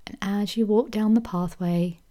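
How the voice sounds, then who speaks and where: A woman leading a guided meditation, speaking slowly and soothingly, sometimes dropping into a whisper. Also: medium pitch, steady tone. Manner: slow soothing delivery with soft whispered phrases.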